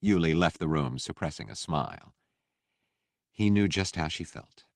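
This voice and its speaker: A man's voice: a bass voice, animated, moderate pace, creaky, dry recording, clean studio sound. A man reading a novel as scripted audiobook narration.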